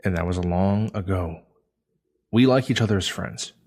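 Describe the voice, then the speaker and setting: A man's voice: low voice, normal speed, darkish tone. An actor playing a bashful, cautious male colleague in a sci-fi audio drama. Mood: embarrassed.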